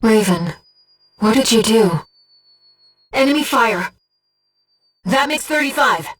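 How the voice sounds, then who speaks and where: A woman voicing a gentle, earnest disembodied guide to a mercenary pilot in a video game, with a soft, measured, composed delivery. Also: fairly high pitch, animated, distant mic, heavily acted. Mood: urgent, alert. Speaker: mission support operator. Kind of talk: scripted video game voice acting.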